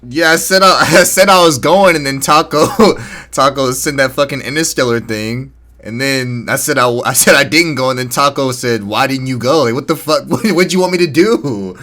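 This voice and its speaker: A man's voice: mid-range voice, moderate pace, sharp tone. A man in his twenties, co-hosting a casual podcast chat, joking about himself and laughing often. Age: 20s. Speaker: podcast co-host.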